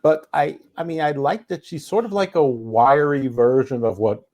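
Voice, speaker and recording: A man talks through a wrestling show review in loose, rambling podcast chat, often restarting his sentences and chuckling to himself. His voice sits at an ordinary, mid-range pitch for a man. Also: moderate pace, a little mellow, muffled recording. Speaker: podcast co-host.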